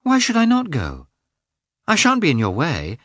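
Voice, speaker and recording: Man voicing a high-pitched, indignant young wife. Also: very animated, moderate pace, a bit bright, dry recording, studio quality. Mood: defiant. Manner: emphatic, pleading, indignant. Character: young wife, headstrong, wounded, tearfully indignant. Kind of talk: dramatised audiobook dialogue.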